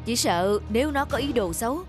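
A woman playing a busy, anxious, suspicious husband and son in a cartoon, with a brisk, fretful manner. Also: fairly high pitch, lively intonation, natural voice. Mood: worried, accusing. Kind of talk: cartoon voice acting. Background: music.

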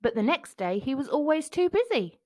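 A woman reads a children's story aloud in a fairly high, very melodic voice. Her phrasing is clear and expressive, made for young listeners, and the sound is dry. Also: moderate pace, dry recording. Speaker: children's book narrator. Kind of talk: expressive picture-book read-aloud.

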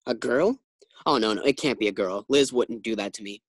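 A man's voice: fairly low pitch, brisk, no room sound, studio quality, natural voice. A man playing a flustered, boastful, naive husband who stammers when rattled, in an old-time radio sitcom style. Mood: earnest, boastful.

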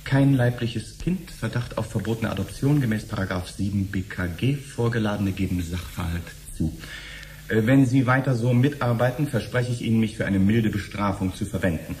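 An actor playing a fussy, officious middle-aged bureaucrat in a radio drama, pausing and hesitating as he questions. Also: on the low side, darkish tone, natural voice. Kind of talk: 1970s German radio drama acting. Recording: slightly noisy old radio drama recording.

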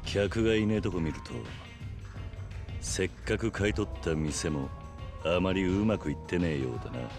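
An actor playing a stoic, tough middle-aged yakuza man in a video game, speaking in a terse, gruff, blunt way. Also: low voice, normal speed, music in the background. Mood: stern, unimpressed. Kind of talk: video game cutscene acting.

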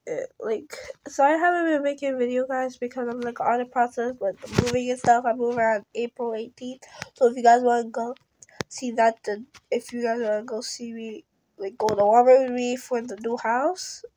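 A woman's voice: on the high side, steady tone, moderate pace, a little mellow. A young girl chatting casually while playing a game, rambling and restarting, sometimes dropping to a whisper. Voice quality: rambling, often trails into a whisper. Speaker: game video creator. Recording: noisy phone recording with clicks.

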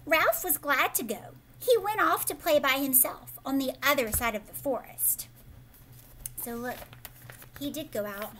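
An American woman in her thirties reads a picture book aloud to children expressively, pausing to ask the listener questions. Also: high-pitched, a little hiss or hum. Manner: animated, prompting listeners with questions. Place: living room at home, indoors.

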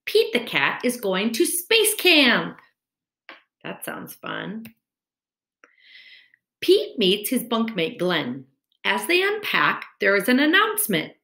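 A woman's voice: mid-range voice, slow pace, vocal fry, pauses often. A woman in her thirties reading a children's storybook aloud like a teacher, expressively, at a computer mic in a room at home. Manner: expressive, addressing young children. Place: indoor room at home, screen-recorded webcam video. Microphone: computer mic at webcam distance. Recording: roomy, echoing home recording.

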